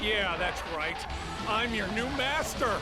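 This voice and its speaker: Shrill gloating goth teen villain. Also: male voice, animated, slow pace, over music, a crowd in the background. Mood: triumphant. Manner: theatrical, sneering, gloating. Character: gleefully vengeful goth teenage outcast summoning the undead. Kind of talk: video game villain voice acting. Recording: loud game soundtrack over the voice.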